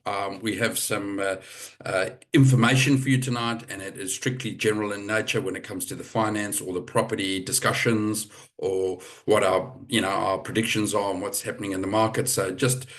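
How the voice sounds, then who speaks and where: Middle-aged male webinar host from Zimbabwe, lowish voice, chatty and loose, heard over a call mic. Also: normal speed. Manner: relaxed, chatty, many fillers and restarts. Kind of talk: hosting a live property webinar. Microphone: video call.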